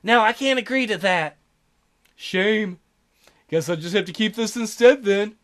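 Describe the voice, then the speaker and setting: A man voicing a smug, scheming male relic dealer who talks in a slow, mock-polite drawl. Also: high-pitched, fairly bright tone. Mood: smug menacing.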